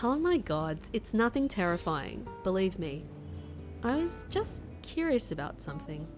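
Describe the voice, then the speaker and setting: Sincere, perceptive, lively-pitched woman. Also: unhurried, over music. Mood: sincere, curious. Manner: sincere, laid-back, teasing. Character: perceptive, sincere, laid-back woman gently probing her friend. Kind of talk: acted audio drama dialogue.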